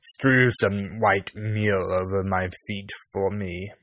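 Guy doing a sneaky wolf from a fairy tale, lowish, slow and drawn out, kinda menacing. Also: steady tone, a bit bright, no echo. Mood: demanding. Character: sly, menacing male wolf, a deceitful predator.

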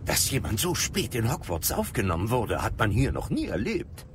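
An actor playing a learned wizard mentor, wry and concerned, with a formal, dry manner in a fantasy audio drama. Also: male voice, lowish voice, wildly swooping pitch, moderate pace, noisy background. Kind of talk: dramatised fantasy radio play. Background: music.

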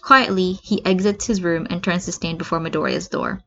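A woman's voice: lowish voice, fairly quick, pushed and bright. A woman reading fan fiction aloud as an amateur narrator, with a slightly muffled, lo-fi recording. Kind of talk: expressive amateur story narration.

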